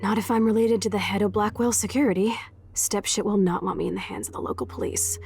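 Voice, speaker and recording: Game role: woman as swaggering, rebellious American teenage girl, sardonic delivery, average female pitch. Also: steady tone, fast talker, vocal fry, a little mellow, soft background music, close to an everyday voice. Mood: brash, determined. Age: young adult. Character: brash, rebellious, sarcastic teenage girl, foul-mouthed punk. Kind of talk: video game voice acting.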